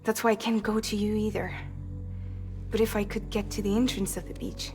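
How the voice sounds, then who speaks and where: A woman plays a worn-out, wry, determined woman in a dramatic game scene. Her mid-pitched voice is soft and sometimes slips toward a whisper. Music swells clearly behind her. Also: fairly even intonation, fairly quick, close to an everyday voice. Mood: gently determined. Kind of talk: cinematic video game dialogue.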